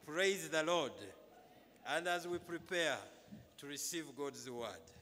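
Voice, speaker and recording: A middle-aged man with a Ugandan accent welcomes a congregation and gives church announcements in a measured, halting but emphatic way, speaking at a pulpit microphone. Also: a little high, sharp tone. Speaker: clergyman leading a church service. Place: large brick-walled chapel, indoors. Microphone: microphone on stand at pulpit, through face mask. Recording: reverberant hall through PA microphone.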